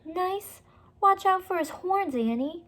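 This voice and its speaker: A woman's voice: extremely high pitch, expressive, slow, a bit bright, voice pushed up, exaggerated voice. A woman voicing a cautious, bossy young boy in a storybook, speaking urgently and with exasperation. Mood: alarmed. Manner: urgent, often whispering. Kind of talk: storybook character read aloud.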